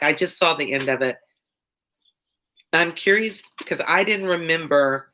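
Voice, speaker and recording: A woman's voice: extremely low pitch, a bit bright, a little hiss or hum, rough recording. A woman with a Louisiana Southern accent and a congested, wheezy chest, reading a novel aloud and chatting with viewers as an online tutor. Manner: chatty, stops often to explain words and answer chat. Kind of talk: casual live-stream read-along with chat.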